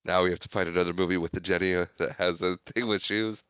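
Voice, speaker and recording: A man's voice: sits low, steady tone, fast, fairly bright tone, clear, resonant tone, no room sound, studio quality. A man co-hosting a podcast, retelling film scenes in casual chat, acting out the characters' quoted lines. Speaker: podcast co-host.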